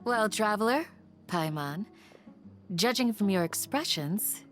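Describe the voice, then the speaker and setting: A woman playing a caring, composed village guardian in a video game, gentle and sincere. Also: medium pitch, animated, takes it slowly, soft background music. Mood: reassuring. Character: calm, caring adult female village guardian. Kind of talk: video game character acting.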